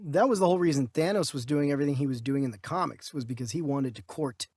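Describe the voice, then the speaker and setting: A man's voice: mid-range voice, fast, a little mellow, breathy edge, no echo. A man co-hosting a podcast in casual conversation, thinking aloud as he reacts and comments. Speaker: podcast co-host.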